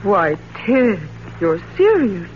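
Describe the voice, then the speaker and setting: An actress in 1940s radio style, playing an affectionate, teasing and coolly evasive wife. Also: female voice, medium pitch, sing-song, slow pace, a little hiss or hum. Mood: puzzled, evasive. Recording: muffled, humming 1940s radio recording.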